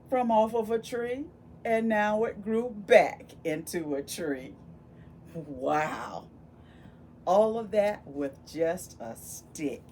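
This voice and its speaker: A woman reading a picture book aloud to young children, expressive and full of wonder, pausing to ask them questions. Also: medium pitch, slight background noise. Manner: animated, exclaiming with gasps and wonder, addressing young children. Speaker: volunteer children's read-aloud storyteller.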